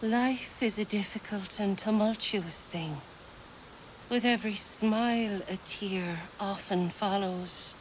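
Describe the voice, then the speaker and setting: Woman acting a very slow, hushed mystical seer. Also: mid-range voice, a little hiss or hum, natural voice, poor audio. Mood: grave, probing. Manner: hushed, breathy, ritual incantation, deliberate. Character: gentle mystical female seer probing hearts. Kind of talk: dramatic video game acting.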